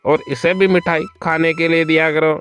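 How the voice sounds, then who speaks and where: A man's voice: a little high, level tone, normal speed, fairly bright tone, lightly acted. A man voicing a kindly village father in a moral-story cartoon, pleading and tagging phrases with little questions, over music. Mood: pleading reproach. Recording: music under the voice.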